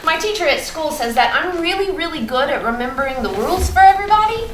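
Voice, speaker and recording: A woman playing an innocent, chatty but fearful first-grade girl in a theatrical monologue, halting and repeating her words. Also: high-pitched, sing-song, fairly bright tone. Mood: proud, chatty. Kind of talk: theatrical monologue at a staged reading. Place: indoor room at a live staged reading. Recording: roomy, distant, noisy live stage recording.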